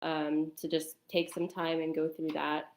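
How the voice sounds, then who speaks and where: A woman's voice: low-pitched, monotone, measured pace, darkish tone, echoey room, rough recording. A woman speaking casually as an interview guest on a livestream.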